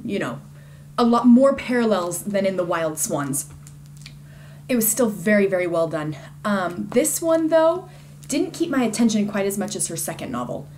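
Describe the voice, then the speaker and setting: A woman's voice: on the low side, darkish tone, slight background noise. A woman giving a casual, conversational book review in an informal and enthusiastic way.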